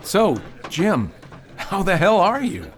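A man's voice: high-pitched, wildly swooping pitch, slow, slight background noise, heavily acted. A man playing the squad's earnest, prickly runt, a soldier in an audio drama. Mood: friendly, prickly.

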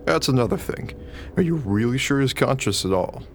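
An actor playing an anxious, analytical, argumentative husband with an earnest, questioning manner in a radio play. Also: male voice, medium pitch, lively intonation, normal speed, a little hiss or hum, lightly acted. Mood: insistent.

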